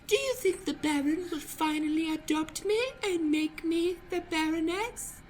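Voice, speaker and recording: A woman's voice: very high-pitched, measured pace, soft background music, voice pushed up. A woman voicing a naive, wistful little girl with a dreamy, childlike manner in an improvised radio play.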